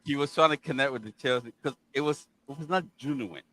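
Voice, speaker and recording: A man's voice: medium pitch, fast, fairly bright tone, low-quality recording. A man co-hosting a casual football chat, who laughs as he retells stories. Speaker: podcast co-host.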